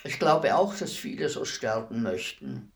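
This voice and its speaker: An actor playing a world-weary, philosophical executioner in a radio play, speaking in a deliberate, brooding, respectful way. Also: male voice, mid-range voice, huge pitch swings. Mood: resigned, melancholy. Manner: deliberate, brooding, formally addressing a lady. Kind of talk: radio play acting, slow reflective monologue.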